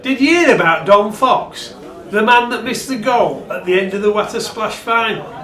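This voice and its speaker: An actor playing a wry, bleakly obsessed rugby supporter in a radio play. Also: male voice, sits high, lively intonation, normal speed, bright, forceful tone. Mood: darkly amused. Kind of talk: dramatic radio play retelling. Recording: roomy, echoing sound.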